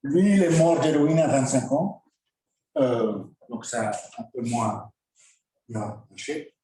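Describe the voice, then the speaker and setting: A man, giving a lecture as a guest speaker, halting, with frequent filler pauses and wry asides. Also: medium pitch, mellow. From Belgium. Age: 50s. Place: lecture hall. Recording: very echoey hall from far off.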